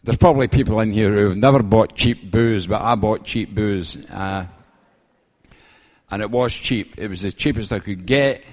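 An older man with a Glasgow-area Scottish accent giving a personal testimony to a gathering, halting and plain-spoken with dry humour, speaking into an event microphone. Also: lowish voice. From Scotland. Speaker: guest main speaker.

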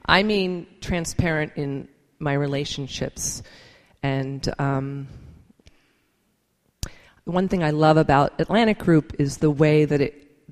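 A woman's voice: deep voice, darkish tone. Earnest woman speaking in a reverberant hall. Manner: earnest, informal, thinking aloud. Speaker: speaker at a recovery meeting. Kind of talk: personal testimony speech to a gathering.

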